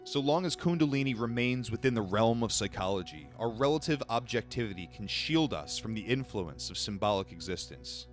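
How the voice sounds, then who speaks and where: A man's voice: fairly low pitch, animated, brisk, few pauses, soft background music. A young man reading a book aloud on a livestream, stumbling over words now and then and breaking off to answer chat in a casual, blunt, swearing way.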